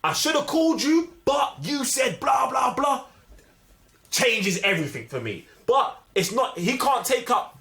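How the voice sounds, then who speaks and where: A man's voice: very high-pitched, sing-song, measured pace, bright, forceful tone. A man with a London accent in a casual, slang-filled film debate, speaking insistently and emphatically. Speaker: film review host.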